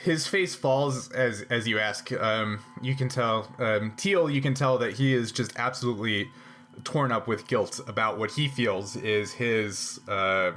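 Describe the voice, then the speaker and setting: A man's voice: medium pitch, unhurried, a bit bright. A man improvising narration as game master of a tabletop role-playing game.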